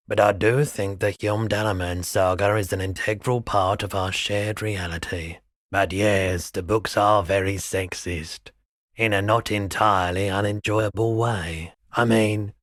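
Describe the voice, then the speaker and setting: An actor playing a laid-back, eccentric pawnshop man in a video game, quietly earnest, with an unhurried, musing, understated delivery. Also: on the low side, no echo, studio quality. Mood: musing, calm. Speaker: video game shopkeeper character. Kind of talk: video game character dialogue.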